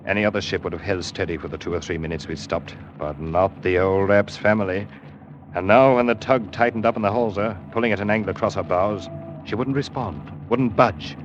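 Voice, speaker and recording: A man playing a watchful seaman who narrates a classic radio drama with deliberate, weighted pauses. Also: sits low, lo-fi sound, noisy background, close to an everyday voice. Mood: wry, watchful. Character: weathered, watchful seaman recounting his voyages. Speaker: narrator and character actor. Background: music.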